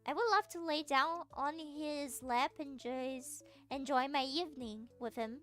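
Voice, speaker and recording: A woman's voice: high-pitched, unhurried, a bit bright, faint music behind. A young woman from Brazil with a Brazilian Portuguese accent, chatting casually with her viewers, rambling with lots of fillers and giggles. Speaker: streamer.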